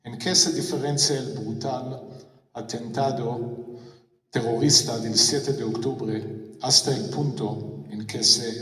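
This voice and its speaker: A man who speaks first delivers a memorial address in careful, halting Spanish, going very slowly and restarting on hard words. He is heard from a distance in a large concert hall with a long, roomy echo. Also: medium pitch, a bit bright. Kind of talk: formal speech read in non-native Spanish.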